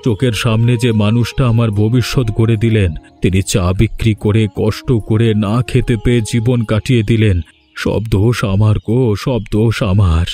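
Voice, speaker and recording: A man's voice: on the low side, steady tone, moderate pace, mellow, close to an everyday voice. A man voicing a remorseful, humbled rich village landlord in a cartoon, speaking solemnly and confessionally. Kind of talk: Bengali cartoon voice acting.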